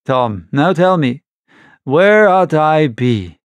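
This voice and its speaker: A man's voice: on the high side, lively intonation, slow, clean tone, no room sound. A man voicing a sly, coaxing older pirate ship's cook with folksy sailor's speech, in a storybook reading. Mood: coaxing, urgent.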